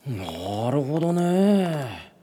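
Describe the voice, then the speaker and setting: A deferential young police detective. His voice is mellow and animated, with a polite, hesitant manner. It is radio drama acting over slight background noise. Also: mid-range voice, very slow. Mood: puzzled.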